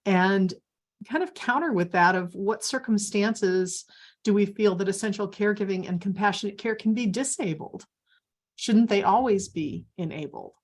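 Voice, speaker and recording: A woman's voice: mid-range voice, normal speed. A woman whose husband was in care, speaking earnestly in an online meeting and raising pointed questions. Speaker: family caregiver. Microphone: video call.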